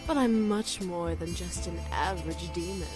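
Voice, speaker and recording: A woman playing a sly, condescending, seductive succubus demon in a visual novel, teasing and smug with drawn-out words. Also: fairly low pitch, close to an everyday voice. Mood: amused, haughty. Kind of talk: visual novel character acting. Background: game music.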